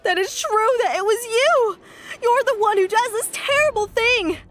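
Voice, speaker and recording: A woman voicing a young woman in a fan audio drama, shrill and emotional. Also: moderate pace, fairly bright tone. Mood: furious. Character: young woman, frightened and furious.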